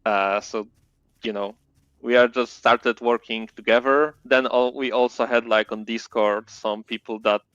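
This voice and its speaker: Polish-accented man, smooth dull-toned voice. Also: mid-range voice, steady tone, fairly bright tone, no echo. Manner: halting non-native English, many fillers. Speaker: indie game developer guest. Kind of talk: casual interview chat.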